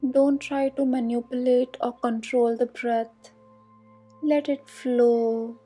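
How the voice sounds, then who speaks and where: A woman guides a meditation at an extremely slow pace, leaving long pauses between instructions. Her voice sits a little high yet stays mellow and relaxed. The delivery is calm and soothing throughout. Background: soft meditation music.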